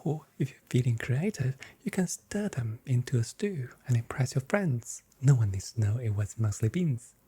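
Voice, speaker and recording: A very soft, whispering voice in an ASMR role play as a grocery shop assistant, giving gently playful sales patter. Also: medium pitch, expressive, normal speed, few pauses, breathy edge.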